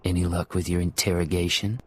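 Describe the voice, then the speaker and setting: An actor playing a cold, ruthless undercover agent who speaks tersely. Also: male voice, sits low, flat delivery, brisk, slightly rough, in a large hall.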